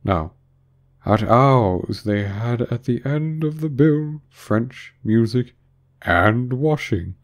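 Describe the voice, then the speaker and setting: A man voicing a mournful, touchy old turtle from a storybook, with a drawn-out, sighing delivery. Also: mid-range voice, lively intonation, pitched above the performer's own voice. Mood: relieved. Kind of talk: storybook character reading.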